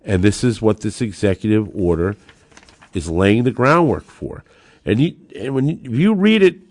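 A man's voice: medium pitch, no echo, studio quality. An American man hosting an opinionated political podcast, delivering an emphatic, combative monologue with rhetorical flourishes. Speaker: podcast host.

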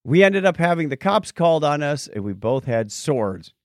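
A man's voice: fairly high pitch, very animated, normal speed, dry recording, studio quality. A man co-hosting a podcast with casual, joking banter and readings of listener messages. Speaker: podcast co-host.

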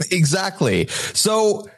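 A man's voice: high voice, sing-song, very slow, vocal fry. A man from Dubai co-hosting a casual podcast, recapping a reality show with emphatic, opinionated remarks. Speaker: podcast co-host.

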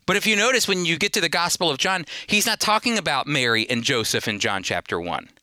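Man, Bible teaching sermon, emphatic delivery, sharp bright tone, close dry sound. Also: on the high side, lively intonation, fast talker, no room sound. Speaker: Bible teacher and show host. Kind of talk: teaching sermon with scripture readings.

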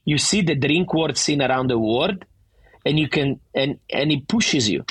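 Man, thick italian accent, middle-aged, husky, slightly high voice, dry close sound, emphatic and animated. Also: normal speed, no echo. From Italy. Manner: animated, emphatic, frequent restarts. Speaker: bar owner guest. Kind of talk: casual interview chat.

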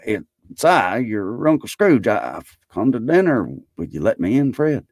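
A man's voice: mid-range voice, very animated, measured pace, a little mellow, dry recording, clean studio sound, voice pushed up. A man voicing an overjoyed old reformed miser in a storybook, effusive, polite and full of exclamations. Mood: shyly hopeful.

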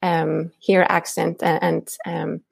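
A woman who teaches languages and writes books, speaking as an interview guest in a thoughtful, hesitant way with many pauses. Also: on the low side, little pitch movement, creaky, slightly rough, no echo, studio quality.

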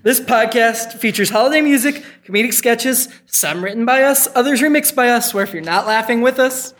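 A man's voice: very high voice, a little fast, a bit bright. A man trading banter as co-host of a live comedy variety show. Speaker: podcast co-host. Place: live stage at a comic shop. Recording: echoey live venue.

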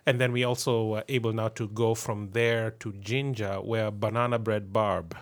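A man's voice: on the low side, flat delivery, normal speed. A man on a podcast earnestly telling of a mission trip, often repeating his words. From Kenya. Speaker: podcast co-host, pastor. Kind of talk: casual podcast chat.

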